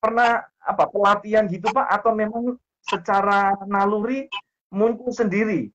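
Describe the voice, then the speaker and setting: A man's voice: high voice, steady tone, normal speed, fairly bright tone. A male interviewer asking questions and nodding along with frequent short affirmations. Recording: slightly dull lo-fi sound.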